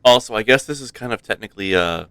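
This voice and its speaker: A man's voice: fairly low pitch, animated, quick pace, fairly bright tone, clear, resonant tone, no echo. A man giving casual live gameplay commentary as a game streamer, sometimes reading dialogue aloud.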